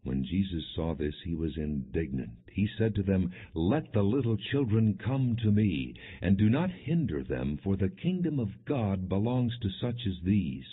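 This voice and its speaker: A man narrating scripture as an audiobook in a measured, deliberate, expressive way. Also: low-pitched, slightly rough. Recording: muffled, phone-like band-limited audio.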